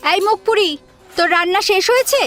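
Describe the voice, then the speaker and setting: A woman's voice: very high-pitched, brisk, fairly bright tone. A woman playing a bullying, short-tempered young sister-in-law in an audio drama, scolding and haughty as she gives orders. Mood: haughty, bossy. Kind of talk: Bengali audio drama acting. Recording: music under the voice.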